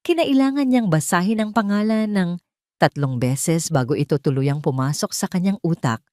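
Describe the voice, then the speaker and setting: A woman's voice: lowish voice, moderate pace, a little mellow, no room sound, studio quality. A woman with a Filipino accent reading a scripted, dramatic love story as its narrator.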